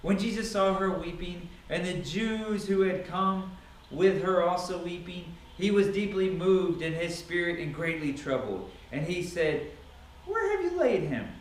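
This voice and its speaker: A man with a fairly high voice reads scripture aloud as drama, stressing phrases theatrically. The recording is roomy and echoing, with audible background noise. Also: steady tone, unhurried. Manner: theatrical, emphatic delivery. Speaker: Bible reader. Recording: echoey room, distant mic, noisy.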